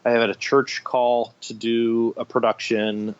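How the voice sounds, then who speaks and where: A man's voice: mid-range voice, little pitch movement, unhurried, clear, resonant tone, highs cut off. A middle-aged man telling anecdotes enthusiastically as an interview guest, in a conversational storytelling style. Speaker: guest, radio theater director.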